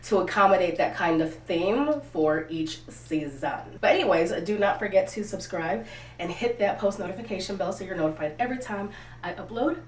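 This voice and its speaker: A woman's voice: medium pitch, animated, moderate pace. A young woman with a stuffy cold hosting a casual clothing try-on vlog, talking candidly and straight to her viewers. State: congested from a cold, sniffly. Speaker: fashion vlogger, channel host. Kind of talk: casual try-on haul chat to camera. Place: outdoors, windy. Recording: roomy echo, distant mic, faint ambient noise.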